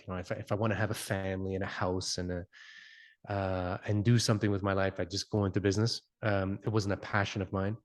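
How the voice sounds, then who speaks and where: A man in his forties, an interview guest raised in Canada whose first language is Italian, speaking reflectively with many fillers and restarts. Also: on the low side. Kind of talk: conversational interview.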